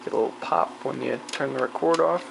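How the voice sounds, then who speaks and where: Clear machine noise sits behind a gravelly, mid-pitched voice. The speaker gives a casual, unscripted hobbyist demonstration, rambling and half talking to themselves as they go. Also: fast talker. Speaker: hobbyist demonstrating vintage tape recorder. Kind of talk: casual off-the-cuff demonstration chat. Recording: clearly noisy home video, machine hum.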